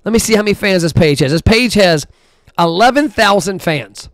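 Blunt, animated man on a dry mic. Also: high-pitched, moderate pace, no room sound. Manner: blunt, animated, talks while scrolling and counting aloud. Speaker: podcast host. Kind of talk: casual solo podcast talk.

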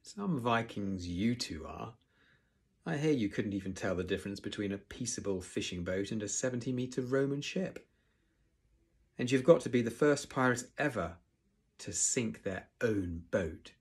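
A man's voice: on the low side, expressive, normal speed, breathy edge. A man voicing a sneering, mocking Viking boy bully in a storybook, with a jeering drawl.

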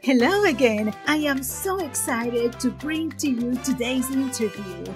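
A woman's voice: high-pitched, expressive, measured pace, music in the background. A woman speaking as an upbeat, welcoming podcast host, introducing and interviewing a guest.

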